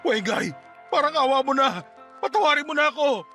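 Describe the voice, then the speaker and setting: Whining, pleading Filipino stepfather, high-pitched. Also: animated, brisk, pushed and bright, full character voice. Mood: desperate pleading. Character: pleading, manipulative abusive stepfather begging for forgiveness. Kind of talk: Filipino radio drama acting. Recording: music under the voice.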